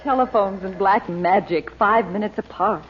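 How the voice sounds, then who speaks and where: A woman's voice: mid-range voice, normal speed, slight background noise, natural voice. A woman playing a frightened, dazed mother. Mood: distressed. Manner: dazed, fearful. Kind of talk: 1930s radio drama acting. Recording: thin, tinny old radio recording.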